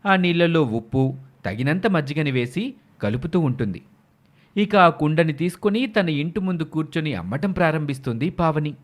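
A man's voice: a little high, animated, moderate pace. A man narrating a scripted moral story as a dramatic storyteller.

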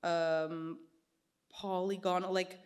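A woman in her thirties chatting on stage into a stand mic in a small indoor gallery space. She tells her stories with animation and puts on quick voices for the people she quotes. Her voice sits a little low. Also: smooth. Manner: animated storytelling, gestures a lot, acts out quoted voices. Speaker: artist guest. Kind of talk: casual onstage conversation.

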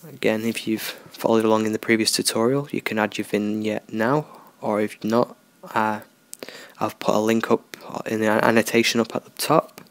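A man talking through a software tutorial in a relaxed, unscripted way, thinking aloud as he works. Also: fairly low pitch, moderate pace, dry recording. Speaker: tutorial host. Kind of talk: screen-recorded software tutorial.

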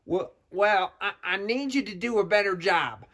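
A man's voice: high-pitched, moderate pace, fairly bright tone. A man voicing a bossy, proud comic puppet character with a Southern US accent and a folksy, scolding manner. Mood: nagging.

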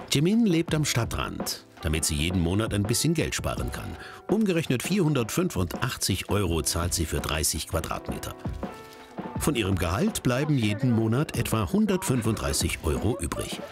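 A male narrator reads a documentary script in a rather low voice. His pitch rises and falls in a very animated way, and clear music plays beneath his voice. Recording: narration over background music. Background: background music.

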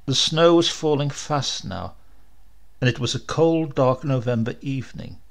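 A man's voice: mid-range voice, unhurried, vocal fry. A man narrating a literary story as a dramatic audiobook reader.

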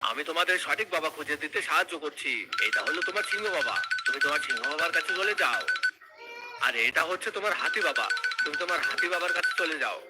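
Helpful cartoon character acted by a man, animated and highish, over loud music. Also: fairly quick, natural voice. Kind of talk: children's cartoon skit dubbing.

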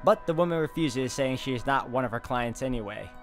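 A man's voice: medium pitch, brisk. A man playing through a visual novel, reading the text aloud and adding dry, joking asides. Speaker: game walkthrough host. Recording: game music behind.

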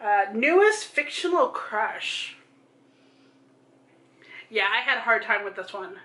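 A woman's voice: on the high side, very animated, takes it slowly, sharp tone. A woman in her thirties chatting casually about books as a vlog co-host, gushing and emphatic. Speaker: book vlogger, co-host. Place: small room at home. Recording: roomy home video sound.

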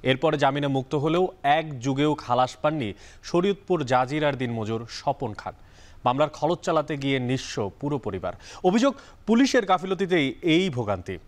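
A man presenting news in a studio, reading with lively emphasis. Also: fairly high pitch, a little fast.

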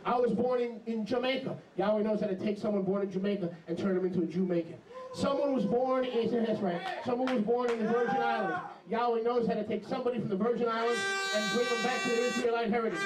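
A middle-aged man preaching an animated, emphatic sermon into a handheld mic in a small congregation hall. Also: very high-pitched, fast, few pauses, background noise. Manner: animated, emphatic, jumps into mocking voices. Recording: echoey hall, camera far off.

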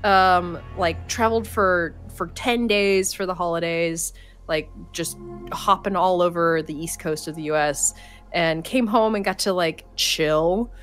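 A woman's voice: on the low side, unhurried, fairly bright tone, soft background music. A young woman co-hosting a game stream, chatty and upbeat with a slight cold, laughing and saying "like" a lot. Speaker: streamer, co-host. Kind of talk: casual chat while gaming.

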